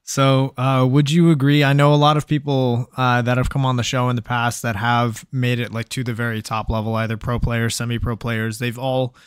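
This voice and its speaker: Smooth-voiced podcast host. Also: male voice, medium pitch, moderate pace, few pauses, no echo, clean studio sound. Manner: relaxed, reads out listener questions, many fillers. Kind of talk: casual interview chat.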